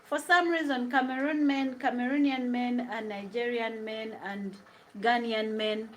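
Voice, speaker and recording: Ugandan woman, slow and chatty, a bit high, faint home noise. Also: steady tone. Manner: chatty, laughs often, drifts between English and Luganda. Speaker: vlogger. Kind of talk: casual chat while cooking, answering live comments. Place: home kitchen.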